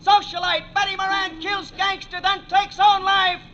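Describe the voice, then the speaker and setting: An actor playing a newspaper boy loudly hawking papers on the street in 1940s radio style. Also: very high voice, monotone, normal speed. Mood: hawking, eager. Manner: loud hawking. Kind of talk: 1940s radio newsboy cry. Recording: muffled old radio recording, music behind.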